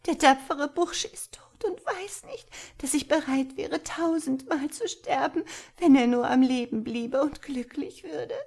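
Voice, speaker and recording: A woman storyteller plays a gentle young girl from a fairy tale. Her voice is pitched high and frail. She speaks plaintively, full of devotion. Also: measured pace. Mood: grieving.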